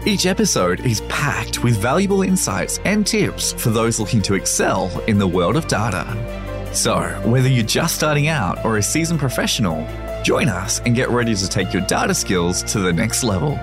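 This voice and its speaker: Guy doing a podcast intro, really lively up and down voice, music clearly under him. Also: mid-range voice, normal speed. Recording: voice over clear background music.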